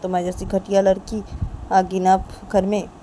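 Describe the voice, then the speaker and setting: A woman acts out an angry father in a homemade story drama. The voice scolds and accuses in halting, repeated warnings, protective of a child. Clear noise and traffic ambience sit behind it. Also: mid-range voice, flat delivery, warm tone, close to an everyday voice. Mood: angry, threatening. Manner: scolding, repetitive, halting threats. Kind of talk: amateur story acting.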